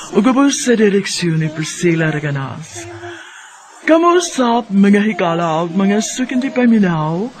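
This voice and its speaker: A man with a Filipino Bisaya accent presenting a radio drama in a scripted announcer style. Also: sits high.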